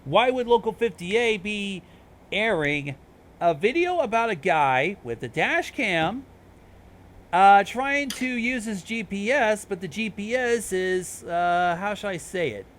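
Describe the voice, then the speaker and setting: A man's voice: high-pitched, expressive, slow, fairly bright tone. A man hosting with casual explanatory commentary, informal, rambling and freely profane. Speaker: host explaining videos.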